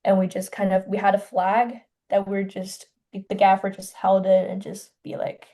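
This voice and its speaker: Soft-spoken young woman from China. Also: mid-range voice, completely flat delivery. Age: 20s. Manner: soft-spoken, hesitant, many pauses and restarts. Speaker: filmmaker guest. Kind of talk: casual interview.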